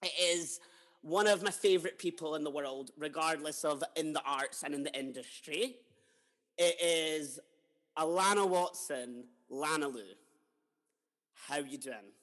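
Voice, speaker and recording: A Scottish man in his twenties with a fairly high voice hosts a laid-back podcast. He chats loosely, swears casually and laughs along, and his voice carries a long reverb tail. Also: a little slow, a bit bright. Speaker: podcast host. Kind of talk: casual chat.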